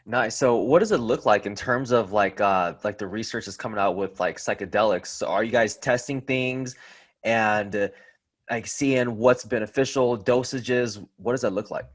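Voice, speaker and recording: A man's voice: fairly low pitch, moderate pace. A man hosting a podcast, greeting listeners and asking questions in an upbeat, casual way with frequent fillers. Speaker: podcast host.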